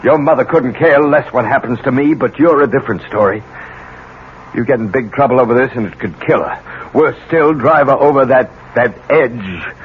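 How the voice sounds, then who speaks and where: A man's voice: medium pitch, normal speed, close to an everyday voice. An actor playing a middle-aged American college professor, a protective and commanding father who probes firmly, in 1970s radio drama style. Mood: commanding, resolute. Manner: probing, firm, authoritative. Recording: muffled, noisy old radio recording.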